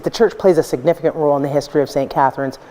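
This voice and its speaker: A woman gives a brisk, matter-of-fact report on a place's history in a news clip. Her voice is very low and mellow, and she talks quickly. A long reverb surrounds her. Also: a little hiss or hum. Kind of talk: informative report. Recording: echoey room with long reverb.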